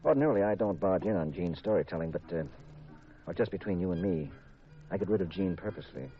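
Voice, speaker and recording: Actor as a wry low-voiced radio private eye. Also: male voice, fast talker, soft background music, lightly acted. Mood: wry, confiding. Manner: casual, hesitant, wryly confiding. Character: wry, smooth private detective and married man. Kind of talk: 1950s radio detective drama acting. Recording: dull vintage radio recording.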